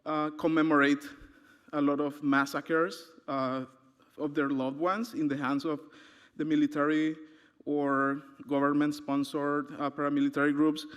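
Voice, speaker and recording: A man from Honduras giving an academic conference talk, pausing often with "uh" as he goes. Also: on the high side, little pitch movement, a bit bright, clean tone. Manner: hesitant, frequent "uh" fillers. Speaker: conference presenter. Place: conference hall. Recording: long hall reverb.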